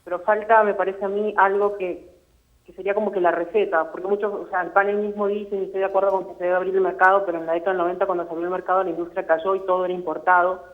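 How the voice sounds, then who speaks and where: Fast, even-toned woman phoning in. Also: lowish voice. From Argentina. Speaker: phone-in caller. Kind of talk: giving political opinion on a live show. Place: phone line into a studio show. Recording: muffled phone call to a broadcast.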